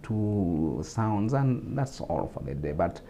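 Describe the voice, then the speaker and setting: A man's voice: fairly low pitch, sing-song, takes it slowly, gravelly, mellow. A man from Uganda with a Ugandan English accent, a guest on a talk show, explaining steadily with repetitions and fillers.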